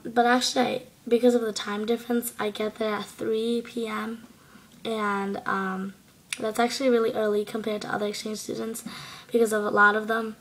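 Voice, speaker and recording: Teen girl vlogger, hoarse from a cold, gravelly and fairly monotone, chatty and full of ums, close on a laptop webcam. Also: mid-range voice, low-quality recording. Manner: chatty, rambling, many fillers. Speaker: exchange student vlogger. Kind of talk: casual video diary. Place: small bedroom indoors at night. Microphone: laptop webcam at close range.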